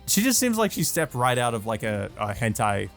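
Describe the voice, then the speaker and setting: A man's voice: medium pitch, big pitch swings, normal speed, vocal fry, fairly bright tone. Male let's play host, mid-range voice, casual and amused, reads visual novel text and comments, music underneath.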